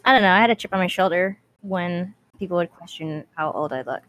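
A woman's voice: fairly low pitch, a little fast, a bit bright, no echo, dull audio. A woman in her twenties co-hosting a podcast, chatting casually with playful, mock-dramatic complaining. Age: 20s. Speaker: podcast co-host. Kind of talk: casual chat.

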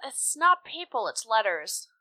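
Animated, bright-voiced woman at a game table. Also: fairly high pitch, measured pace. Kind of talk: casual game chat.

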